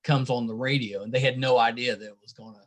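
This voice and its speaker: A man's voice: mid-range voice, steady tone, fast talker. A man hosting a casual interview, chatting as he asks questions. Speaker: podcast host interviewing a band.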